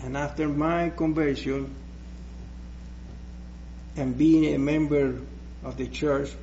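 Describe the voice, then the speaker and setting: Slow, hesitant Puerto Rican preacher. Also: male voice, on the high side, fairly even intonation, dark tone, lo-fi sound, slight background noise. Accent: Puerto Rican Spanish. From Puerto Rico. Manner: slow, hesitant, with many restarts. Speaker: guest preacher. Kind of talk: personal testimony in church.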